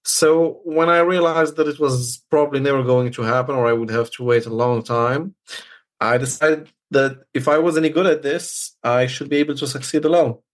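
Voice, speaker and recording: A man's voice: medium pitch, fairly even intonation, normal speed. Man from Morocco, podcast guest, explanatory, casual interview talk, hesitant phrasing, mid-range voice.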